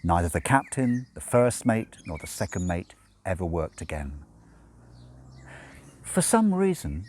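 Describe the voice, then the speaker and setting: A man with a fairly low voice narrates a novel. His intonation swings widely and animatedly as he reads. He phrases each line dramatically, leaving deliberate pauses for effect. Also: huge pitch swings, darkish tone, a little hiss or hum. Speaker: audiobook reader. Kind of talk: expressive scripted narration of a novel.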